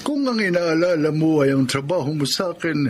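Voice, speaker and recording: A man playing a kind, fatherly older employer in a Filipino radio drama, gently advising with a Filipino accent. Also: a little high, lively intonation, moderate pace, lightly acted. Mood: kindly concern. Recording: clearly noisy radio drama track.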